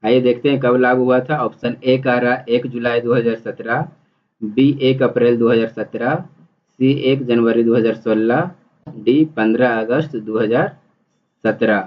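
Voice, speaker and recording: A man's voice: medium pitch, fairly even intonation, a little slow. A man teaching exam prep, reading multiple-choice questions and explaining the answers in an instructional, repetitive way. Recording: dull, muffled lo-fi audio.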